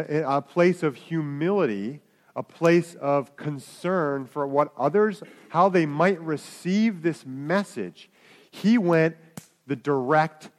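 Guy preaching, chatty and loose, a bit high voice, talks to the crowd. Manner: conversational, with frequent restarts and asides to the congregation. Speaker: pastor. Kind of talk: sermon.

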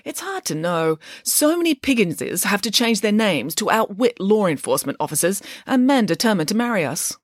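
A woman's voice: mid-range voice, big pitch swings, normal speed, hardly any pauses, no echo, clean studio sound. Woman as a self-assured pig nanny. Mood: breezy, confident. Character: glamorous, confident, dryly witty female pig nanny.

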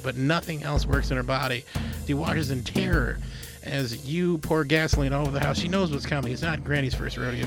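A man on a comedy podcast, improvising absurd stories in a casual, matter-of-fact way. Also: fairly high pitch. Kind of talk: improvised comic storytelling in second person.

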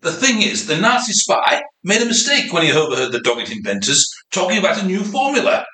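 An amateur actor playing an eager, deferential helper ghost in a community radio play, recorded in an echoey room. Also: male voice, high-pitched, big pitch swings, a little fast, pushed and bright, recorded from a distance, full character voice. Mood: explaining. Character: eager helpful male ghost sidekick.

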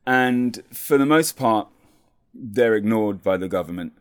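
Man, low voice, measured, descriptive, calm explainer. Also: clean tone. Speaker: community radio guest. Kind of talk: conversational explanation.

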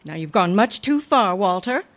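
A woman playing a wry, sensible but soft-hearted schoolteacher in an old-time radio comedy, gently admonishing. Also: medium pitch, expressive, normal speed, lightly acted. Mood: wry, warning.

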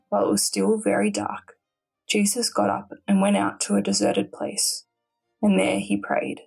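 A woman's voice: medium pitch, gravelly, a little mellow, breathy edge, low-quality recording. A woman, a religious sister, reads a Gospel passage aloud as scripture. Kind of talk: scripture reading. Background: background music.

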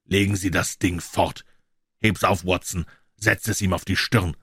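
A man voicing a cool, commanding detective who speaks calmly, briskly and with authority. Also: low voice, husky, dry recording, clean studio sound, heavily acted.

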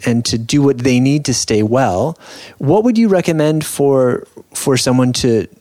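A man's voice: medium pitch, a little mellow, no room sound. Man hosting, close dry sound. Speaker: podcast host, interviewer. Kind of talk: moderated panel discussion.

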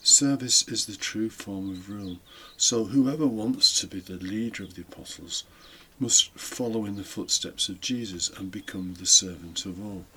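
British man, bright low voice, giving a homily. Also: normal speed, hardly any pauses, husky, low-quality recording. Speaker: homily podcast host, deacon. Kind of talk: informal homily, partly scripted. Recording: faint background noise.

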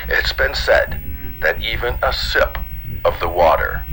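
An actor playing an earnest 1950s television newsreader, announcing in period broadcast style over a noisy old-style transmission. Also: male voice, deep voice, wildly swooping pitch, measured pace, gravelly, sharp tone, full character voice. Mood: earnest, solemn.

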